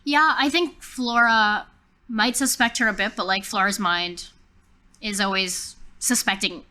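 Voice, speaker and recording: A woman's voice: medium pitch, fairly even intonation, unhurried, bright, forceful tone. A woman chatting informally as a player during a tabletop roleplaying game. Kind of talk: casual game chat.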